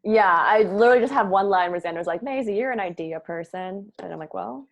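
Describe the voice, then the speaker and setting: A woman's voice: medium pitch, fairly quick. A woman scriptwriter talking casually in a group discussion about feedback. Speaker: scriptwriter leading a table read feedback session.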